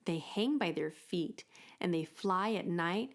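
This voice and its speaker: A woman voicing an excitable, caring young female baby bat with a squeaky, eager delivery for a children's storybook. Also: slow. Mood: excited.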